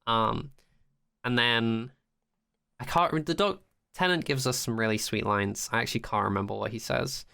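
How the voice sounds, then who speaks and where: A man's voice: medium pitch, normal speed, vocal fry, pushed and bright, clean tone. A man co-hosting a podcast, recapping a TV episode in casual, sweary chat peppered with "like". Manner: sweary, frequent "like", acts out quotes. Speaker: podcast co-host.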